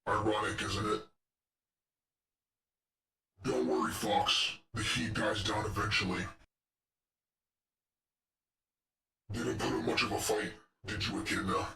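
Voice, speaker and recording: A man's voice: fairly low pitch, vocal fry, bright, forceful tone, recorded from a distance, exaggerated voice. A man voicing a menacing, arrogant robot henchman in a video game, fiery and sadistic, with taunting, condescending lines. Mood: mocking. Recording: echoey room, off the microphone.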